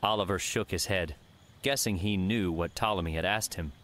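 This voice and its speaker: A man's voice: low voice, moderate pace, a bit bright. A man narrating an adventure novel as a scripted audiobook reading. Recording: faint background noise and ambience.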